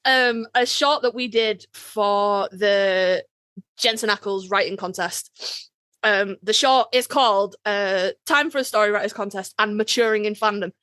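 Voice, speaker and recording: Woman co-host, bright slightly high voice, dry close sound, wry and blunt, casual chat. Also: measured pace, no echo. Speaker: podcast co-host.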